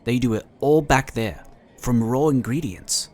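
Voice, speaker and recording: A man's voice: medium pitch, unhurried, darkish tone, close to an everyday voice. A man playing an affable, talkative and polite psionic inquisitor in a sci-fi audio drama. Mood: friendly, enthusiastic. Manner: talkative, easygoing, explanatory.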